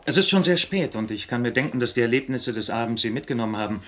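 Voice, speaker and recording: An actor playing a courteous, official police sergeant in a German radio play, clipped and businesslike. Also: male voice, mid-range voice, animated, fast, a bit bright, natural voice. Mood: formal, polite. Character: brisk, formal, courteous male police sergeant.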